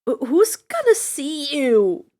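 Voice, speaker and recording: A woman's voice: high voice, wildly swooping pitch, slow pace, mellow, heavily acted. Woman playing earnest, wary young hero. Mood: flustered.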